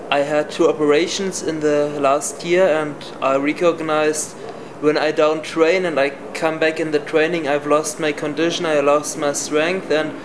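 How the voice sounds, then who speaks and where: Young German man, non-native English, pauses to find words, a touch higher-pitched. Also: noisy background. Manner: halting, searching for words in English. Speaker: interviewee. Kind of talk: casual interview answers.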